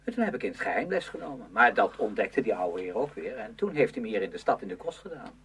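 A man's voice: on the low side, sing-song, quick pace, fairly bright tone. A man acting a moody, confiding teenage schoolboy in a Dutch radio play. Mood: bitter, confiding. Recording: hum and faint noise, radio drama recording.

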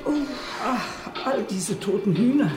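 A woman's voice: mid-range voice, expressive, unhurried, natural voice. A woman playing a flustered, squeamish shopper in a radio drama, hesitant and exclamatory. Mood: appalled, flustered. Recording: roomy shop acoustic, music behind.

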